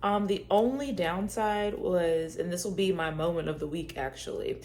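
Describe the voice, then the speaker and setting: A woman's voice: on the low side, normal speed, darkish tone. A woman in her thirties co-hosting a podcast, telling a story in casual chat with many fillers. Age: 30s. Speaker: podcast co-host.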